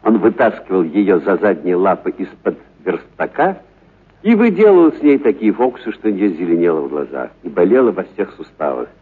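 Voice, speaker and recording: A man reading literary prose for radio as an actor, with dramatic, deliberate pauses and stressed words. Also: fairly low pitch, breathy edge. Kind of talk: expressive literary narration for radio. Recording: narrow, phone-like radio recording.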